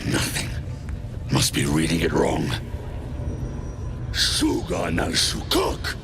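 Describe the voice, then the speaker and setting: An actor playing a huge, impatient hobgoblin priest in a fantasy game, gruff and gravelly, intoning commands. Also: male voice, a bass voice, huge pitch swings, slow, over music, full character voice. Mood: irritated. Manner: thunderous incantations, gruff.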